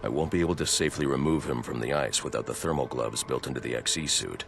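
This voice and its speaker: A man's voice: extremely low pitch, quick pace, creaky, background noise. A man playing a grim masked vigilante detective in a video game, terse, stern and brooding. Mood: grim, determined.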